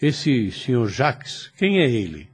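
Husky, anxious priest, swinging intonation. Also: male voice, mid-range voice, big pitch swings, slow, full character voice. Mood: worried probing. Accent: Brazilian. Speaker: radio drama actor. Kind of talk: Brazilian radio soap acting.

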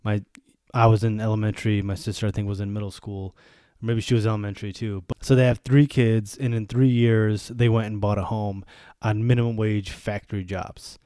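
A man's voice: fairly low pitch, flat delivery, brisk, mellow, clear, resonant tone, no room sound, studio quality. A man of thirty hosting a solo podcast, venting candidly in a sardonic, freely swearing way. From born in Germany to Bosnian refugees, raised in the US. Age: 30s. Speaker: podcast host.

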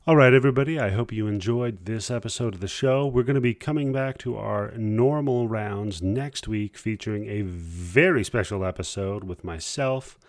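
A close, dry recording of a male podcast host. His voice sits fairly low, and he talks in a casual, conversational way. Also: normal speed, few pauses, no echo.

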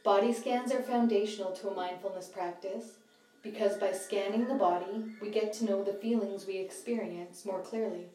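Female meditation guide, soft-edged mellow tone, calm measured phrasing, recorded slightly away from the mic with music behind. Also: mid-range voice. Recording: slightly distant roomy sound, music behind.

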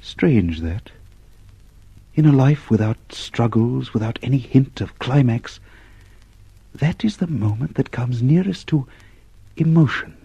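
A male actor playing a grave, brooding narrator, giving measured dramatic narration in an old radio play. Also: mid-range voice, expressive, vocal fry, dark tone, slightly rough, muffled recording, full character voice. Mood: sombre calm. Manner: slow, measured, sombre.

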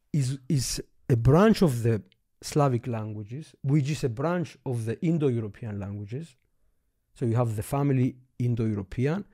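Mellow-voiced Greek man explaining eagerly. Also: medium pitch. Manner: eager, thinks aloud while pointing things out. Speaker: history teacher. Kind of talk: explaining maps in a casual lesson.

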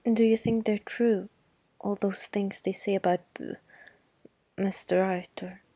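A feisty young girl, as a woman narrator reads her, blunt and defiant. The voice is husky and slow, with a gravelly edge, in a dry, close storybook reading. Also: medium pitch, fairly even intonation, dark tone, dry recording.

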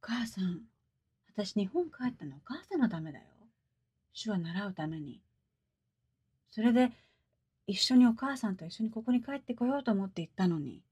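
Husky, earnest daughter, reflective. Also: female voice, mid-range voice, sing-song, fast, long pauses, darkish tone, no echo, studio quality. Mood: pleading. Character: adult Japanese daughter recalling her diver mother, earnest, dutiful. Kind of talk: audio drama monologue.

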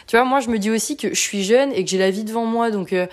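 A woman's voice: a little high, quick pace. A woman of twenty-four in a casual conversation, asking questions with hesitant fillers.

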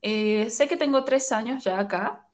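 A woman's voice: fairly high pitch, a little slow, a little mellow. A woman in her twenties with a Venezuelan accent, casually telling her own story as a podcast guest in an informal, giggly manner. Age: 20s.

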